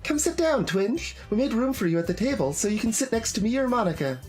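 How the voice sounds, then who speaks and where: A man's voice: very high-pitched, very animated, fast talker, darkish tone, soft background music, voice pushed up. A man voicing a sweet, confident, popular teenage schoolgirl in an anime game, with a friendly, welcoming manner.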